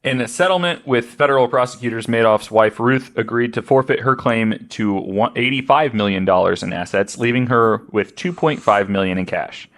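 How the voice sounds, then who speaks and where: A man's voice: mid-range voice, moderate pace, few pauses, fairly bright tone. A man hosting a podcast, reading out a true-crime account with joking asides. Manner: joking, laughs often. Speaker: podcast host.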